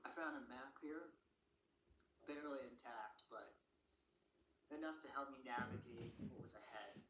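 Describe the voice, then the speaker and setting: A man's voice: mid-range voice, moderate pace, lightly acted. A man playing a lone, wary apocalypse survivor narrating a found-footage horror video diary in a subdued, matter-of-fact way. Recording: roomy, noisy found-footage audio with ambience.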